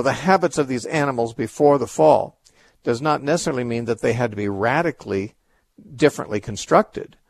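A man's voice: mid-range voice, gravelly, dry recording. A man hosting a radio call-in show, answering Bible questions in a thoughtful, explanatory way with frequent restarts.

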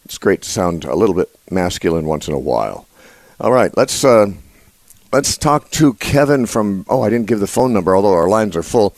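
A man's voice: big pitch swings, a little mellow, no room sound. Congested man hosting call-in radio. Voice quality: usually a high nasal voice. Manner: halting, many uh fillers and restarts. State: congested, voice lower than usual. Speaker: radio show host. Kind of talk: live call-in Bible talk radio.

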